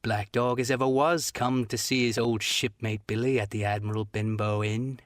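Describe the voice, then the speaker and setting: A wheedling old sea rogue, put on by a male reader, fawning one moment and sneering the next. His pitch sits in an ordinary middle range and climbs when he coaxes. The read is close and dry, with no room around it. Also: steady tone, moderate pace, dry recording, lightly acted. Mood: ingratiating. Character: sly fawning pirate man, old sailor, menacing. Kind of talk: audiobook character voice.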